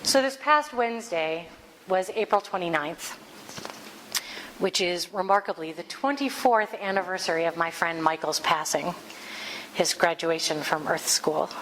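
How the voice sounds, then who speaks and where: A woman giving a heartfelt spiritual talk to a congregation as its minister, speaking with earnest warmth and reading passages aloud. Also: lowish voice, expressive, moderate pace, in a large hall, a little hiss or hum, poor audio.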